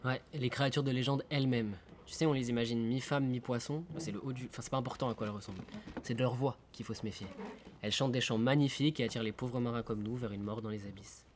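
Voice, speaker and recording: Un acteur joue un marin qui raconte des légendes de la mer dans une fiction sonore, d'un ton posé et un peu ironique. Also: male voice, medium pitch, fairly even intonation, fast talker, a little hiss or hum, natural voice. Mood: confiding. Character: weary seasoned sailor recounting sea legends in verse. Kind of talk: audio fiction storytelling. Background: music.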